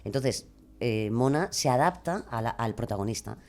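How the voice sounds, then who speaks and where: A woman with a Spain Spanish accent explaining her craft in a casual, expressive way as a podcast guest. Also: a bass voice, moderate pace. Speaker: podcast guest, true crime narrator and creator.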